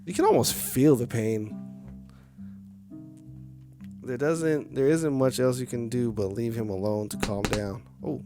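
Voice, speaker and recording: A man's voice: medium pitch, measured pace, pauses often. Male game streamer narrating over music. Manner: chatty asides to viewers. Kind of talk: live-stream read-aloud narration with casual chat.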